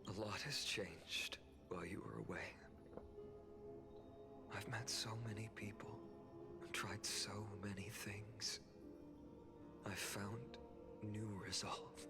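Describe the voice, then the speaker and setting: An actor playing an earnest, gentle and resolute young hero in a video game, with a soft, breathy delivery. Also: male voice, fairly low pitch, fairly even intonation, slow pace, long pauses, in a whisper. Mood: wistful, resolute. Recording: game audio with music underneath.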